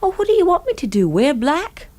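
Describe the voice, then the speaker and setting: An actress playing a flippant, hard-hearted young housekeeper in a radio mystery, talking bluntly and casually. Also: female voice, high-pitched, big pitch swings, brisk, darkish tone. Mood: blunt, unconcerned. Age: young adult. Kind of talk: radio mystery drama acting.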